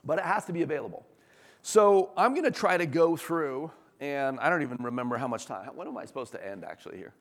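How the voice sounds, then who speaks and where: A man presents to an audience in a large hall with long reverb trailing his words. His voice sits at an average male pitch and is slightly hoarse. He talks like an executive who is keen on the subject, conversational and emphatic. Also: lively intonation, fast talker. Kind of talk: informal business presentation to an audience. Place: large room, talk before an audience.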